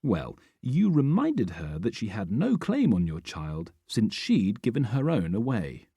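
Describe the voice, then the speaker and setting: A man's voice: lowish voice, huge pitch swings, measured pace, breathy edge, dry recording, full character voice. A male audiobook reader voicing a guarded, blunt middle-aged father who speaks with dry sarcasm. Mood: stern. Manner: dry, sarcastic, then softening.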